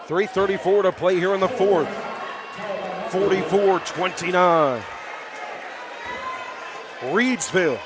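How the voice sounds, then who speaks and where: A man's voice: high voice, slow pace, long pauses. A man doing live radio play-by-play of a basketball game, backing the home team and exclaiming on big plays. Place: high school basketball gym. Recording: loud crowd and bouncing balls in gym. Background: loud crowd noise, basketball bounces.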